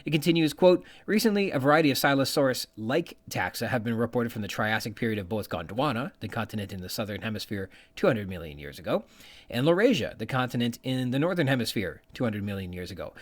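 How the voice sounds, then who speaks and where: A man hosting a podcast, explaining research in a semi-scripted way, reading out technical terms and quotations with informal asides. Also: mid-range voice. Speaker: podcast host.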